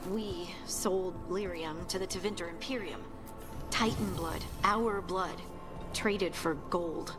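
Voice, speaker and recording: A woman's voice: fairly low pitch, takes it slowly. A woman voicing an earnest, reflective young dwarf in a video game, with music behind her. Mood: solemn.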